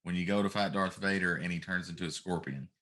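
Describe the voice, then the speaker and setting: A man's voice: sits low, quick pace, a bit bright. Middle-aged man ranting casually. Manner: ranting, emphatic complaining. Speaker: talk show host. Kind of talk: casual chat about video games.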